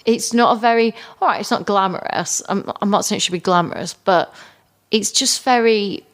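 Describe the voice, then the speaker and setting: A woman's voice: medium pitch, moderate pace. A woman co-hosting a podcast, chatting casually about a film, thinking aloud with hedges and emphatic repetition. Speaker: podcast co-host. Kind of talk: casual film review chat.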